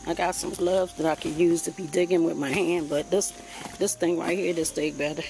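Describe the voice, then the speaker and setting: Woman, lower voice, homey rambling vlog chat, handheld phone audio, faint music bed. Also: steady tone, brisk, warm tone. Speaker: vlogger showing her garden. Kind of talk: casual chat to camera while gardening. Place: backyard outdoors, then indoors by a window.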